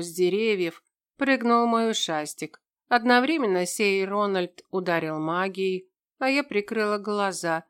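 A woman's voice: medium pitch, smooth. A woman reading a scripted first-person fantasy story as an audiobook narrator.

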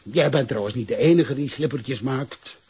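A man's voice: mid-range voice, moderate pace, darkish tone, husky. An actor playing a scheming, coolly persuasive man in a radio drama, insinuating in manner, with a Flemish accent. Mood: insinuating pressure. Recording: dull lo-fi radio drama recording.

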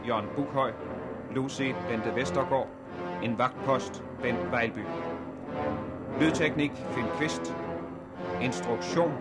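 A man's voice: medium pitch, pauses often. A man reading scripted credits as a formal radio announcer. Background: loud music.

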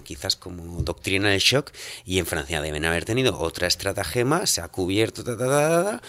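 Low-voiced man telling animated stories. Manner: animated storyteller, acts out quoted reactions. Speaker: guest. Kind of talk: casual radio discussion.

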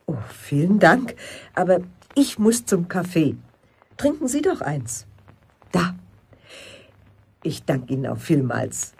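A woman's voice: on the low side, very animated, slow pace, gravelly, pauses often, darkish tone, breathy edge, heavily acted. A woman acting a polite, grateful lady visitor in a German radio play, with a gracious manner of speaking. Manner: courteous, gracious.

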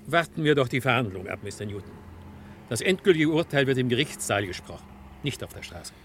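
A confident city defence attorney talks at medium pitch. He is composed and businesslike in an old radio play, with faint noise behind him. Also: big pitch swings, a little fast, fairly bright tone.

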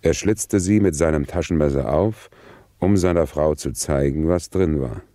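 A solemn chronicler narrates a radio drama. The voice is extremely low, and the delivery is measured and deadpan. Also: male voice, dry recording, clean studio sound. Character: solemn male chronicler of a gentleman's last voyage. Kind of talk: scripted radio play narration.

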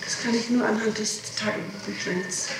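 A woman answering questions in an interrogation, haltingly, often repeating and breaking off her phrases, on an old tape recording. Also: medium pitch, fairly even intonation, gravelly. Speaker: person being questioned by police. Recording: distant, echoey, noisy 1960s tape recording.

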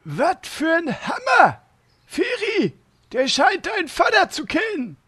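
Horst, a squeaky, blunt wisecracker. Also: male voice, huge pitch swings, slow, sharp tone, heavily acted. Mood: mocking. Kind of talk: comedic audio drama parody.

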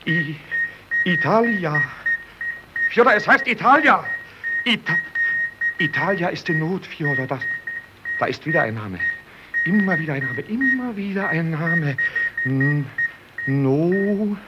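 High-voiced, fervent young radio operator. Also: male voice, expressive. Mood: tense, listening hard. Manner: breathless, urgent, repeating words, halting. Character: excitable young Russian amateur radio operator, obsessive and fervent. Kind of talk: old German radio play acting. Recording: muffled old recording, loud radio static, beeps.

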